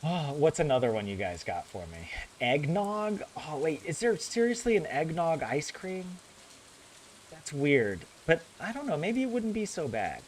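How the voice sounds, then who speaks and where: A man's voice: a little high, big pitch swings, measured pace, slight background noise. A young man with a general American accent chatting casually with his viewers while he plays a game, rambling and correcting himself as he goes. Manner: rambling, chatty, self-correcting, laughs often. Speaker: gaming streamer.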